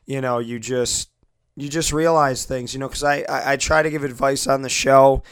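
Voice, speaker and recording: Male sports show host, hoarse from a lost voice, flat earnest delivery, dry close sound. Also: medium pitch, normal speed, no room sound. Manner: earnest, repetitive, grateful, many restarts. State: losing his voice, hoarse. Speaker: sports talk radio host. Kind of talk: solo internet radio show monologue.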